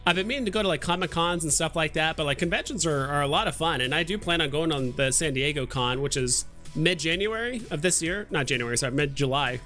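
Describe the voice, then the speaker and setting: A man hosts a livestream with a fairly high, forward and very bright voice. He chats casually and hesitantly, thinking aloud as he goes. Soft music sits in the background. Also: fast talker, few pauses. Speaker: livestream host. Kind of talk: casual chat.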